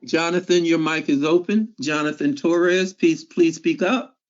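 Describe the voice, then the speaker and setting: A man with a somewhat high voice moderates an online class over a dull, lo-fi line. He speaks slowly and repeats his prompts in a measured way. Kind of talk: calling on participants. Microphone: video call. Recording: dull lo-fi call audio.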